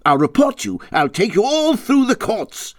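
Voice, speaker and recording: A man voicing a furious, indignant water rat from a storybook, shouting drawn-out threats. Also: high voice, sing-song, dry recording, studio quality, voice pushed up.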